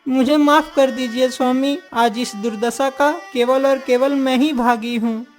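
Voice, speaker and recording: Woman as remorseful cartoon queen, high voice, humble, formal, apologetic, soft music behind. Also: steady tone, measured pace. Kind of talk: Hindi cartoon moral-story acting.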